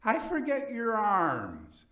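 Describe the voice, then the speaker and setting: A proud, tipsy gentleman of gothic storytelling performed by a male reader, his voice pitched up and swooping widely. He is stubborn and full of exclamatory bravado, heard in a roomy echo. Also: wildly swooping pitch, slow, distant mic, full character voice. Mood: tipsy, jovial. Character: drunk, proud, stubborn male wine lover, boastful and incredulous.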